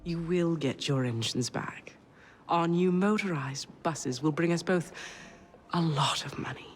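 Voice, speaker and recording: A woman's voice: deep voice, unhurried, slight background noise. A woman playing a poised, ruthless Victorian businesswoman in a video game, genteel and cool. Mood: smooth, confident. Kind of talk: dramatic video game acting.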